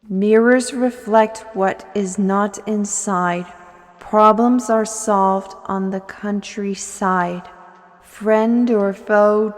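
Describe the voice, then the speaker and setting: Woman acting a slow-paced, wry fantasy steward. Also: medium pitch, natural voice. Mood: solemn incantation. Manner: conversational, wry, instructive. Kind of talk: audio roleplay acting, addressed to the listener.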